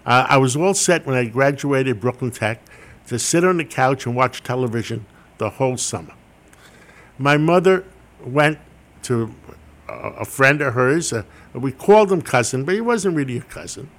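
A man's voice: medium pitch, animated, moderate pace, fairly bright tone. Older New man telling wry stories. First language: Greek. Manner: anecdotal, wry, self-deprecating. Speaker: guest. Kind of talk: interview storytelling.

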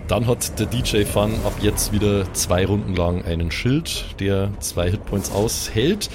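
A man's voice: lowish voice, measured pace, background noise. A man working as game master, narrating an improvised tabletop game in casual German with English gaming slang mixed in. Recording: music behind the voice. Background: music.

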